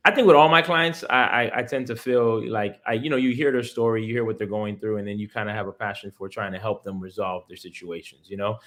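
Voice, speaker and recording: An American man in his thirties, from Miami, speaks in a fairly low voice as an interview guest. He sounds like a professional breaking his field down for ordinary people. His delivery is conversational and earnest, moving step by step. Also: quick pace, hardly any pauses, fairly bright tone, a little hiss or hum. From Miami, United States. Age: 30s. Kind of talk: casual explanatory interview talk.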